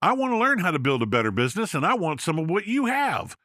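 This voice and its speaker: A man's voice: fairly high pitch, big pitch swings, fast, sharp tone, no echo, clean studio sound, pitched above the performer's own voice. A man voicing a male builder who leads a coffee meeting and asks the group questions. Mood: earnest.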